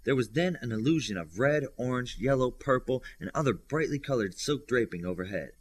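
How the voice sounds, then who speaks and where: Husky man reading a story aloud. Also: mid-range voice, animated, fairly quick. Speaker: audiobook reader. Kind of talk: scripted narration of a superhero novel.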